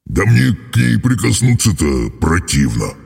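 A storybook dragon with a low, hoarse rumble. The creature drags its words out heavily, with a crackling, gravelly growl and big, raw emotion. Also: male voice, sing-song, a little mellow, full character voice. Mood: grumpy, scornful. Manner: slow, drawling, bellowing and sobbing delivery. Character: grumpy, sullen old-fashioned dragon, secretly lonely and sentimental.

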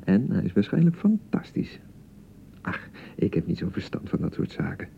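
A man's voice: on the low side, big pitch swings, moderate pace, gravelly, highs cut off, slight background noise, heavily acted. An actor playing a young husband on honeymoon in a radio drama, coaxing and placating with pet names as his patience frays. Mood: coaxing, awkward. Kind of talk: naturalistic radio drama acting.

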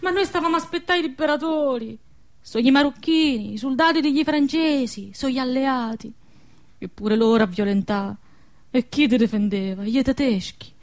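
A young village woman in a radio play, wounded but stubborn and tender. Her voice is high and impassioned, in a rustic southern Lazio dialect, full of anguish. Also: moderate pace. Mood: bitter, anguished. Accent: southern Lazio Italian dialect. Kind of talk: radio drama acting.

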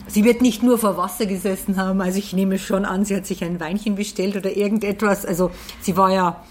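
A woman's voice: medium pitch, fairly even intonation, fairly quick. A woman commenting casually and spontaneously in an informal, colloquial way.

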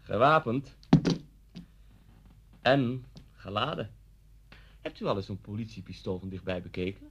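A man's voice: on the low side, lively intonation, a little slow, heavy background noise. An actor in a Dutch radio play, playing a talkative, coolly unsettling policeman who speaks politely and insinuatingly. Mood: chatty, needling. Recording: dull, muffled old radio recording.

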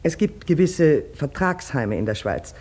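Mellow, husky woman doctor. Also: sits low, expressive, a little slow, heavily acted. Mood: reassuring. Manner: matter-of-fact, reassuring. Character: brisk, reassuring unmarried female doctor. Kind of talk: German radio play acting.

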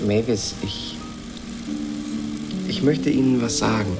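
An actor playing an awkward, shy space traveller in a radio play, speaking haltingly and dryly, often as if to himself. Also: male voice, medium pitch, over loud music, low-quality recording. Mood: shyly sincere. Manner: hesitant, halting, often breaking off and restarting. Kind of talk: naturalistic German radio play acting, partly as a spoken log.

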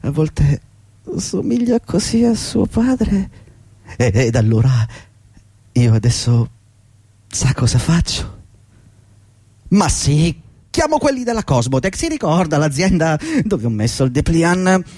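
A man's voice: fairly high pitch, sing-song, slow pace, pauses often, dark tone, no echo, heavily acted. An actor playing a middle-aged, servile and agitated long-time employee pleading with his boss in a fretful, rambling radio drama performance. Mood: fawning, hopeful. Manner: fretful, deferential, rambling and insistent. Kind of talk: theatrical radio drama acting.

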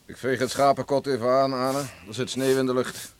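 An actor playing a gruff, stubborn farmer and domineering father in a radio play, speaking curtly. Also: mid-range voice, flat delivery, a little fast, a little hiss or hum, close to an everyday voice. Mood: curt, defensive. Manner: curt, domineering. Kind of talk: Dutch radio play acting.

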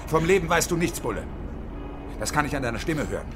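A man's voice: a little high, sing-song, fast talker, music in the background. A man in a German radio drama playing a desperate, bitter unemployed engineer in his fifties turned hostage taker, with a sardonic, volatile and menacing manner. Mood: desperate anger. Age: 50s.

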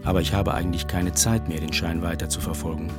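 An actor voicing a brooding narrator's inner monologue in a scripted radio drama. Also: male voice, deep voice, fairly even intonation, fast, natural voice. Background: loud plucked guitar music.

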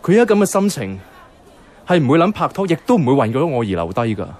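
A man's voice: fairly high pitch, big pitch swings, fast talker, a little mellow, some chatter behind. An actor playing a pragmatic young man in a Hong Kong Cantonese radio drama, hesitant in love, with a dry, incredulous, colloquial way of talking. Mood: frustrated, defeated. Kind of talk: radio drama dialogue with some narration. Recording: music under the voice.

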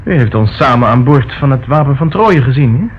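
A curt, haughty gentleman. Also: medium pitch, animated, a little fast, breathy edge. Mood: dismissive, evasive. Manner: curt, dismissive. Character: curt, haughty, evasive gentleman under questioning. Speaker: actor in a radio play. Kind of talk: Dutch radio drama acting. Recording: dull, faintly noisy old radio recording.

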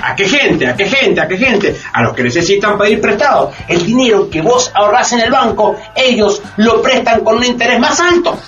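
An actor playing a streetwise know-it-all neighbour with a Latin American voseo accent, explaining with emphatic exasperation in a comic radio drama. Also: male voice, high-pitched, animated, moderate pace, few pauses, fairly bright tone. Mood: exasperated. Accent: Latin American Spanish with voseo. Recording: dull, distant radio recording, faint music.

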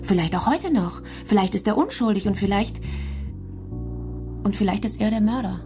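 An actress playing a sharp female prosecutor in a radio play, probing and sarcastic as she argues with herself. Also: mid-range voice, animated, moderate pace, natural voice. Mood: probing, agitated. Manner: probing, sarcastic inner monologue. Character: sharp, self-questioning female public prosecutor, adult. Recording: music behind the voice.

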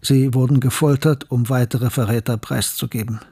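An actor playing a grave scientist who narrates his memories of wartime tragedy in a sombre, measured way for a radio drama. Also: medium pitch, fairly even intonation, dark tone, breathy edge. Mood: grave, bleak.

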